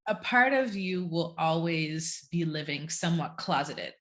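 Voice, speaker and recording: A woman's voice: deep voice, animated, measured pace, fairly bright tone. A woman from Jamaica, talking frankly and wryly in a casual conversation. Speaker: podcast guest.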